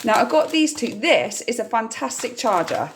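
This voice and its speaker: A woman's voice: on the high side, very animated, moderate pace. A British woman chatting casually through a grocery haul vlog, sounding slightly nasal and a little scratchy from a cold. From United Kingdom. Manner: chatty, informal, explanatory. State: getting over a cold, slightly scratchy throat. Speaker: vlogger.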